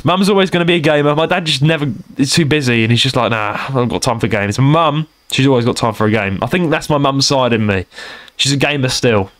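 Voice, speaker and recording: A man's voice: medium pitch, fast talker, a bit bright. A young man with a London accent co-hosting a casual gaming podcast, rambling and repeating himself as he chats. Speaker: podcast co-host.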